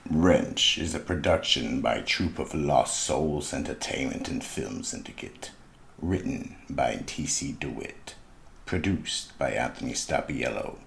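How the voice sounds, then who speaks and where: An actor playing an ominous, wry horror anthology host, delivering scripted narration. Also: male voice, very deep voice, expressive, unhurried, gravelly, fairly bright tone, a little hiss or hum, full character voice. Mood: formal.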